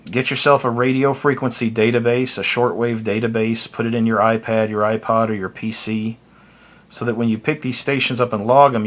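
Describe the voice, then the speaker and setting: A man's voice: fairly low pitch, fairly bright tone. Casual, rambling American man. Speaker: shortwave radio hobbyist giving tips. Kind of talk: casual unscripted explanatory chat.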